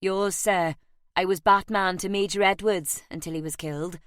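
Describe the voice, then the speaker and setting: A woman's voice: medium pitch, fairly even intonation, moderate pace, no echo, studio quality, close to an everyday voice. A woman voicing a humble, eager male ex-soldier, deferential and plain-spoken, in an audiobook.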